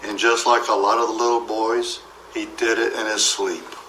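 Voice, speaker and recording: A man reading a children's story aloud in an expressive way, acting out the dialogue. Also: lowish voice, moderate pace, distant mic. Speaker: storybook reader. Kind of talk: scripted children's story narration. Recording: roomy echo, faint background noise.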